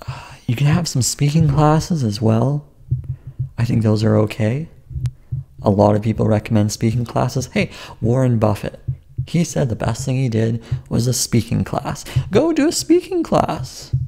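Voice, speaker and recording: Male YouTuber, calm low voice, mellow with gravelly fry, rambling to-camera advice. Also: unhurried. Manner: rambling and self-interrupting, with restarts. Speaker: YouTube creator giving advice. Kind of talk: casual advice vlog to camera.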